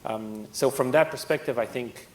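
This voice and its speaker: A man from Portugal speaking as a panelist at a conference, relaxed and conversational. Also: normal speed. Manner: relaxed, conversational, laughs often. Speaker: panelist, fund partner. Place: conference stage. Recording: echoey hall with faint rumble.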